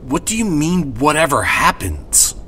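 A man's voice: on the high side, sing-song, a little slow, sharp tone, slight background noise, heavily acted. An actor playing a polite but anxious, easily indignant male traveller in a horror audio drama. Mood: uneasy.

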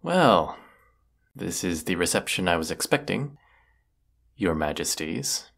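A man playing a brooding villainous wizard in a fantasy audio roleplay, stern and formal in his deliberate delivery. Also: low voice, sing-song, vocal fry, a bit bright, full character voice. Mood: curt, impatient. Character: brooding villainous wizard of the woods, secretly lovelorn.

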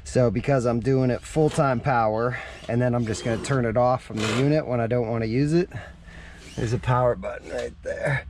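A man's voice: medium pitch, steady tone, moderate pace. A man giving a casual, folksy how-to narration while he works hands-on, thinking aloud in informal language. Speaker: vlogger showing a DIY truck install. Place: outdoors in and around a parked truck in a driveway. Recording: clear background noise, vehicle ambience.

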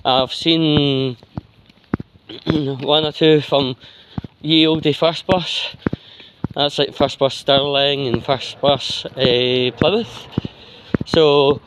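Scottish male vlogger, slightly high voice, slow halting monologue, outdoor phone audio with faint street noise. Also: fairly even intonation. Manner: halting, slow, frequent pauses mid-sentence. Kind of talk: rambling casual walking monologue. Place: outdoors, walking along a street pavement. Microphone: handheld phone while walking.

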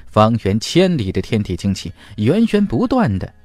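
A man narrating a fantasy audiobook in a dramatic scripted style, with emphatic, deliberate delivery. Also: lowish voice, huge pitch swings, a little mellow, no echo.

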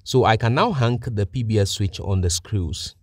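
A man's voice: fairly low pitch, normal speed, no echo. A man giving methodical, plain-spoken step-by-step narration as a technician presenting a practical tutorial.

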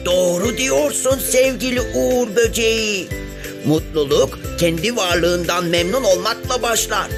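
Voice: medium pitch, measured pace, over music, close to an everyday voice. A performer voicing a sulky but earnest cartoon frog who is learning to be happy, answering simply. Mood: brightening, curious. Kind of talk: children's cartoon dialogue.

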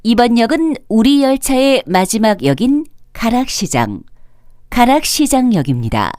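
Woman, train announcer, higher-pitched, very melodic intonation, polite, formal, careful enunciation, scripted. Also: very animated, a little slow, a little mellow, faint music behind. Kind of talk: scripted automated transit announcement.

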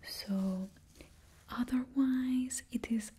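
Young woman, faked Russian accent, soft close whisper, very airy and mellow, unhurried. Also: fairly high pitch, husky. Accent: put-on Russian. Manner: close, slow whispering with drawn-out words. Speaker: ASMR roleplay stylist. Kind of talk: ASMR whispered roleplay. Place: indoors before a studio backdrop.

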